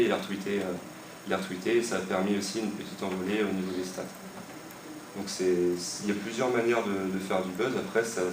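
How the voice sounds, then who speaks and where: Low-voiced man, hesitant, roomy distant sound. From Maine-et-Loire, France. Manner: hesitant, many fillers and restarts. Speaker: website creator interviewed. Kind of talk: casual spoken explanation. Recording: echoey room, mic far off, noisy.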